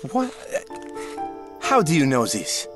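A man's voice: fairly high pitch, huge pitch swings, over music, exaggerated voice. A man playing a proud, stubborn working husband in an audio drama, speaking firmly and earnestly. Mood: troubled. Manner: earnest, firm, measured. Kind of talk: full-cast audio drama acting.

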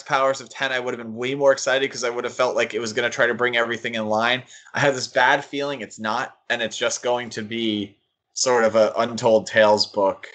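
A man's voice: medium pitch, a bit bright. Opinionated man, casual podcast co-host. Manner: opinionated, critical. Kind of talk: casual comic book discussion.

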